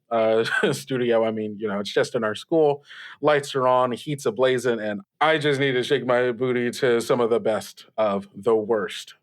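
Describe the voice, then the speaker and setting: Guy playing a young student radio host in an audio drama, relaxed chatty and supportive, stumbles over words, middle pitch. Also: close to an everyday voice. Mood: upbeat, playful. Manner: halting, casual, chuckling, reassuring. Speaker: radio call-in show host. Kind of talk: improvised audio drama, casual radio chat.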